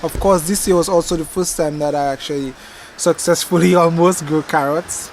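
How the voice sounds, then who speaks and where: Young man, rambling, self-correcting vlog chat, slightly raised pitch, faint yard ambience behind. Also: normal speed, slight background noise. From Dominica. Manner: relaxed and chatty, self-correcting, laughs at his slips. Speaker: gardening channel host. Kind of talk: casual rambling chat to camera. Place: outdoors in a backyard garden. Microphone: camcorder microphone.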